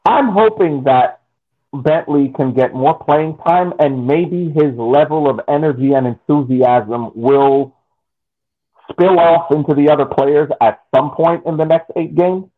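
A man's voice: fairly high pitch, unhurried. A man co-hosting a casual sports podcast, talking emphatically and repeating himself. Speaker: podcast co-host. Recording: tinny phone-like line.

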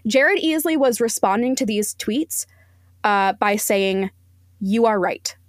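A woman's voice: medium pitch, slow pace, no room sound, studio quality. A woman speaking bluntly and emphatically as an opinionated guest critic in a casual podcast interview.

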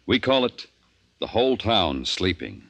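A man's voice: sits low, very animated, unhurried, fairly bright tone, no room sound. An American man announcing credits in a scripted 1950s radio style.

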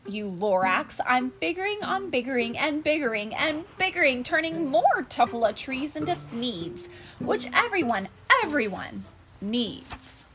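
A woman's voice: high-pitched, very animated, unhurried, background noise, pitched above the performer's own voice. A woman voicing a defiant, greedy male factory boss who blusters emphatically in storybook rhyme. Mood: defiant anger. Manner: blustering, emphatic, repetitive rhyming.

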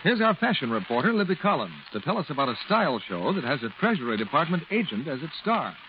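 Polished male American announcer for 1940s sponsor spots, very animated, muffled old recording. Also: fairly high pitch, fast talker. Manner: polished, salesmanlike. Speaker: radio announcer. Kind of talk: 1940s scripted radio ad copy. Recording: noisy, muffled 1940s radio broadcast.

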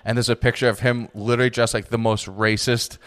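A man's voice: mid-range voice, level tone, fast, fairly bright tone, smooth. A young man co-hosting a podcast, trading crude jokes in casual chat. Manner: crude, joking banter. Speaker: podcast co-host.

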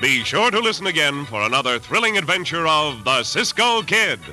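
A man announcing the close of a vintage radio show, dramatic and declaiming. His pitch rises and falls a lot, and a loud organ plays under him. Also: sing-song, moderate pace, rough recording. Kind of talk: formal radio sign-off. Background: loud organ music.

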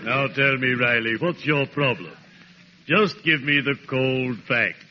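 A man playing a morbid, courtly undertaker with a slow, sepulchral delivery in the style of 1940s radio comedy. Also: medium pitch, natural voice. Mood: solemn, courtly. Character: solemn, morbid, courtly male undertaker with lugubrious politeness. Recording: noisy muffled vintage radio recording.

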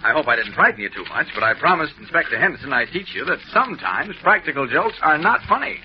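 A man's voice: a little high, very animated, quick pace, soft background music. An actor playing an easygoing, teasing male reporter who chuckles at his own prank, in a 1940s radio drama. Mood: amused. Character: calm, amused male reporter turning the tables. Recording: dull old radio recording.

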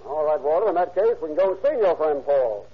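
An actor playing a hard-nosed radio cop. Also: male voice, a little high, animated, fairly quick, over loud music, natural voice. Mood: firm. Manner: firm, needling interrogator. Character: tough, steady male police detective. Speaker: police detective. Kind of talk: 1940s radio acting. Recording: muffled old radio recording with hiss.

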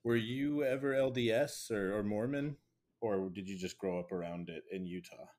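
A man's voice: on the low side, moderate pace, darkish tone. A man hosting a casual interview, asking friendly questions with small stumbles and restarts. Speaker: interviewer, podcast host.

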